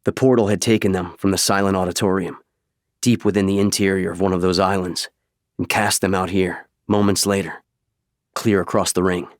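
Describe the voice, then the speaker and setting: A man reading scripted audiobook narration in a measured, dramatic way, close to a studio microphone. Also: low voice, flat delivery, no room sound.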